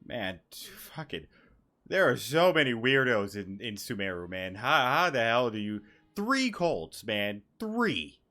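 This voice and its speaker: A young male gaming streamer gives casual commentary in a medium-pitched voice. He talks in a joking, slangy way and swears freely. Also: big pitch swings, a little slow, pushed and bright.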